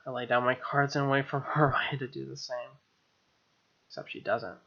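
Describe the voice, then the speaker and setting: Male let's-play host; sharp bright voice, mid pitch, casual snark and swearing, dull recording. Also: fairly even intonation, moderate pace, creaky. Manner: snarky, profane asides. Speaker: gaming video host. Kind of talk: reading a visual novel aloud with casual commentary. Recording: dull lo-fi mic over music. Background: game music with guitar.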